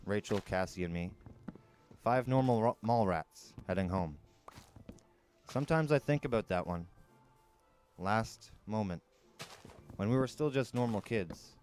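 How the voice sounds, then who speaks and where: A man's voice: on the low side, a little mellow, slight background noise. A man reading a first-person story aloud as a dramatic narrator, on an amateur recording. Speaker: audiobook narrator.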